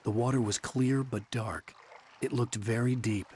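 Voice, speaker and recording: A man's voice: medium pitch, steady tone, a little slow, slight background noise, natural voice. An actor playing a troubled, brooding male writer and husband with a volatile temper in a video game. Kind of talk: brooding first-person narration and acted dialogue. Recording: game soundtrack with loud music behind.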